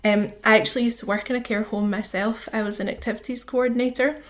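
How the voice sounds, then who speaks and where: A woman's voice: fairly high pitch, steady tone, fast talker. A woman speaking as a charity officer and interview guest, explaining things in an earnest, conversational way.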